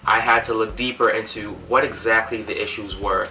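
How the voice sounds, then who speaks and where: Earnest young man with bright tone. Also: on the low side, fairly even intonation, fairly quick, distant mic, soft background music, low-quality recording. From Cameroon. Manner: earnest, many "um" fillers. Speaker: nonprofit founder. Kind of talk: speaking to camera.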